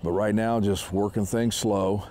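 A man's voice: lowish voice, fairly even intonation, unhurried, mellow, slight background noise. A man in his fifties hosting a fishing show, casually explaining his technique as he fishes from a boat on a lake. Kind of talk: casual instructional talk while fishing. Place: small boat on a lake outdoors.

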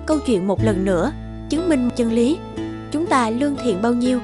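A woman narrates a scripted story in a high-pitched voice. Music plays clearly behind her narration. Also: lively intonation, moderate pace.

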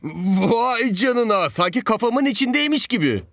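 An actor playing an eager, easily amazed young footballer in a cartoon dub, expressive and excitable. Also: male voice, extremely high pitch, measured pace, dry recording, heavily acted. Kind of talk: Turkish animated cartoon dub.

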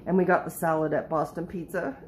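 Woman, deep voice, teasing, laughs a lot, home kitchen, background noise. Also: steady tone, fairly quick. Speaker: camera operator and co-host. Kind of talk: casual chat from behind the camera.

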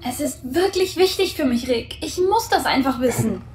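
Thoughtful artificial woman, high voice, roomy sound. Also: animated, normal speed, a little mellow. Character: artificial young woman, curious and calm. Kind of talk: audio drama acting.

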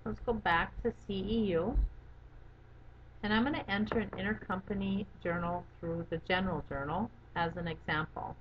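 A woman giving a methodical, step-by-step software walkthrough as an instructor. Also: medium pitch, moderate pace. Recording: dull lo-fi audio with background noise.

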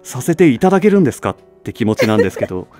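A man's voice: mid-range voice, very animated, rapid-fire, a little mellow, soft background music. A man who hosts a channel and voice acts, chatting casually with his co-host. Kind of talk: casual excited chat.

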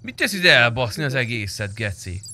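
A man's voice: medium pitch, very animated, pushed and bright. A young man streaming an online roleplay game, bantering casually and bluntly with coarse language. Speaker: gaming streamer. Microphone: close streamer microphone.

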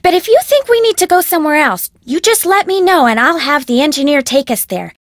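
A woman's voice: sits high, expressive, a little fast, dry recording, studio quality. A woman voicing a bubbly, bossy, spoiled rich young heiress in a video game, with an American accent and perky, dramatic, casual phrasing. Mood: eager explaining. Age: young adult.

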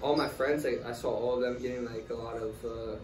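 A young man answering interview questions in a relaxed, casual way, full of fillers. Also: medium pitch, fairly quick. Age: young adult. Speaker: guest, tennis player. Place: small room, in doors, seated in armchair. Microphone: far camera. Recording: roomy, distant, noisy.

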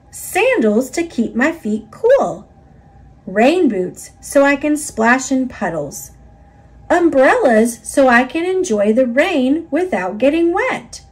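A woman narrating a children's picture book in an expressive, instructive read-aloud style for young listeners. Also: sits high, breathy edge.

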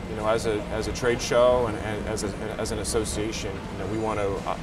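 Low-voiced man, halting, on noisy show floor. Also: level tone. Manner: halting, measured, searching for words. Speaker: trade association president being interviewed. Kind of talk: interview answers. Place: trade show booth, indoors. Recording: noisy trade show floor, slightly distant mic.

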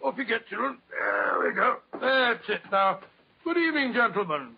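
An actor with a British accent playing a kindly, flustered, bumbling doctor in a blustering, stammering 1940s radio style. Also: male voice, high voice, expressive, normal speed, creaky. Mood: jolly, flustered. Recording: muffled, hissy 1940s radio recording.

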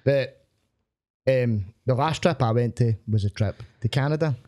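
A young Scottish comedian chatting as a podcast guest in a studio, animated and swearing freely. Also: male voice, mid-range voice, a little slow. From Scotland. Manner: animated, swearing, laughing often. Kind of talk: casual chat. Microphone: studio mic on boom arm.

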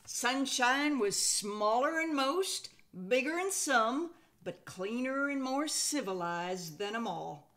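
Slow, bright older American woman reading aloud. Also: high voice, expressive. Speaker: storyteller reading a children's picture book. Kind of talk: expressive read-aloud narration with folksy Western flavor. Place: indoor room at home.

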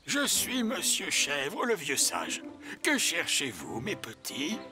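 A man voicing a gentle, wise old goat in a children's cartoon, musing and humming between phrases. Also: on the high side, huge pitch swings, full character voice. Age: older. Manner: slow, hesitant with hums and musing pauses. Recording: music behind the voice. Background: music.

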